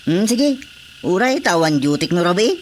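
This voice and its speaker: A man's voice: sits high, very animated, moderate pace. A man voicing a polite drinking buddy. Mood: relaxed. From Philippines. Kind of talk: radio drama acting. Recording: radio play with crowd noise behind.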